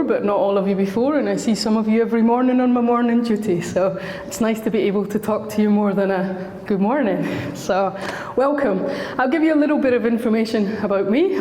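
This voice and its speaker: A woman's voice: medium pitch, warm tone, slight background noise. A Scottish woman working as a school counsellor, giving a friendly, chatty talk to parents with a slightly sore throat. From Scotland. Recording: echoey hall recording.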